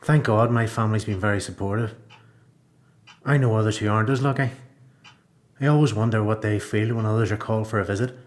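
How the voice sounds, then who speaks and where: A man with a Belfast accent playing a frustrated prisoner in a radio play, delivering his phrases deliberately, one by one. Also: lowish voice, natural voice. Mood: reflective. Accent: Belfast Northern Irish. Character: frustrated male prisoner who craves consistency. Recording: roomy echo.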